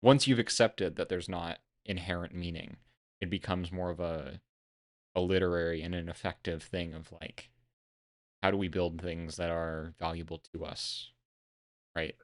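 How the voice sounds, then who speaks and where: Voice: low-pitched, fairly even intonation, moderate pace, dry recording, clean studio sound. A stream co-host in casual analytical chat, thinking aloud in a discursive way.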